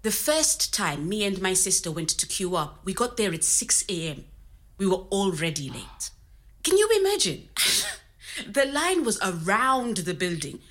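A woman's voice: medium pitch, sing-song, unhurried, fairly bright tone. An actress playing a resourceful, candid woman recounting her working life in a conversational storytelling style. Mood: candid, exasperated. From Zimbabwe. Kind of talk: scripted oral-history storytelling in conversational manner.

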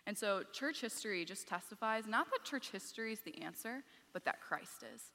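A woman cohosting a live podcast, speaking in a measured way and affirming her guest often. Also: mid-range voice, expressive, sharp tone. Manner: measured, affirming, quick "yeah"s. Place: conference stage with hall. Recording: live hall reverb.

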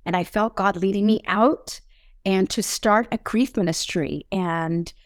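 A woman's voice: mid-range voice, unhurried, dry recording, clean studio sound. A middle-aged woman from Newfoundland, Canada, giving a personal testimony as an interview guest. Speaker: podcast host as interview guest.

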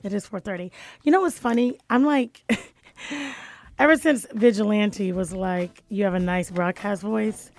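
A raspy-voiced woman in her thirties hosting a talk radio show with lively, laughing banter in a studio. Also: mid-range voice, measured pace, faint music behind.

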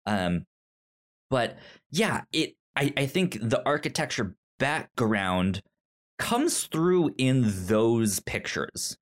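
Halting, casual male podcast host. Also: medium pitch, very animated. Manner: halting, stammering with repeated word starts. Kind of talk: casual book discussion.

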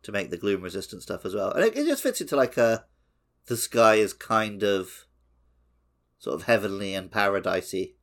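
A man's voice: fairly low pitch, big pitch swings, moderate pace. A man co-hosting a podcast, chatting casually about video games. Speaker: podcast co-host. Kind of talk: casual two-man video game discussion.

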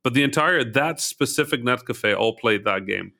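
A man's voice: medium pitch, animated, moderate pace, vocal fry, sharp tone. A man from Egypt hosting a casual interview chat, often hesitating with ums and restarts. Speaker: podcast host.

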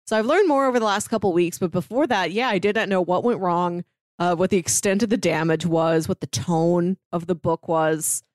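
Female co-host, slightly low pitch, casual book talk, chatty delivery, dry recording. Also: normal speed, a little mellow, no room sound. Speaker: podcast co-host.

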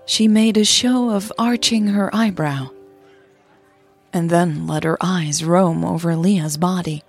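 A native Dutch-speaking woman with a husky voice, narrating a scripted podcast in a measured way. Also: on the low side, soft background music. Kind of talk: scripted audio story narration.

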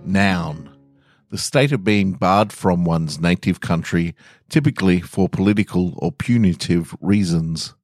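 A man's voice: low voice, a little slow, darkish tone, soft background music. An Australian man hosting a podcast and reading stories aloud. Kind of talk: casual podcast intro and story reading.

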